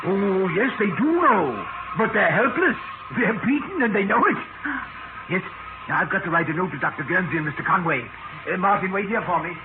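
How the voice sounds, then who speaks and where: An actor playing an excitable, obsessive professor at war with insects, fervent and fussy, in 1940s radio drama style. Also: male voice, sits high, a little fast. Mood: smug, obsessive. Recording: noisy, narrow-band vintage radio recording.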